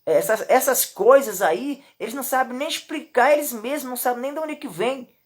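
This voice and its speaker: A woman with a Brazilian Portuguese accent gives a Bible lesson in a fairly high voice. She drives each point home by repeating it and talks straight to the listener. The scripture passages come slowly and deliberately. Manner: emphatic and repetitive, addresses the listener directly. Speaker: Bible teacher. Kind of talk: Bible teaching with slow scripture reading.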